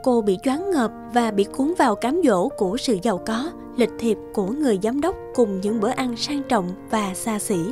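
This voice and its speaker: A woman's voice: a little high, animated, moderate pace, few pauses. A woman reading scripted storybook narration as the narrator. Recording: guitar music under the voice.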